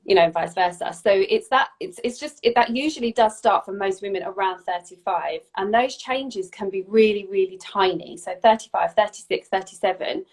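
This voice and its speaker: A woman's voice: mid-range voice, moderate pace, few pauses. Woman, 40s, health practitioner; casual, explanatory, stop-start delivery. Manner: explanatory, frequent restarts and repeats. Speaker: functional medicine practitioner. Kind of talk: casual expert chat.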